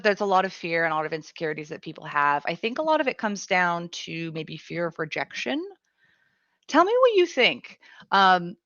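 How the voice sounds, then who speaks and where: A woman radio host who is sick with a cough, talking frankly and casually and swearing now and then. Her voice is bright and forceful but sits right on the mic, with a dry, dull, lo-fi sound. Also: medium pitch, no room sound. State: sick with a cough and chest tightness. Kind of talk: casual chat reading listener comments. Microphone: close studio mic.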